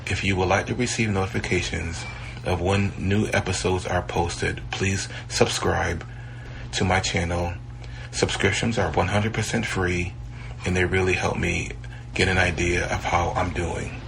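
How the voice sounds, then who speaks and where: A man's voice: low voice, level tone, measured pace, vocal fry, noisy background. A man hosting a podcast, delivering a scripted sign-off to listeners. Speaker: podcast host.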